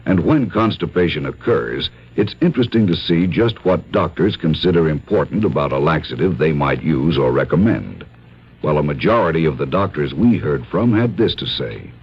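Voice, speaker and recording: Deep, husky male announcer, earnest old-time ad read, muffled radio tone. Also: lively intonation, moderate pace. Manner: smooth, earnest announcer delivery.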